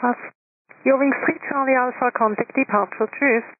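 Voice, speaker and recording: A woman working as an air traffic controller, giving brisk clearances over aviation radio. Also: high voice, steady tone. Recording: tinny, narrow-band air traffic radio with static.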